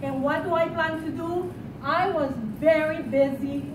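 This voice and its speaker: A woman's voice: sits high, fairly even intonation, measured pace. A middle-aged or older woman from El Salvador who grew up in New York, giving an impassioned, emphatic live campaign speech. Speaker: candidate for re-election in a local party. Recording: roomy, far-off microphone, background noise.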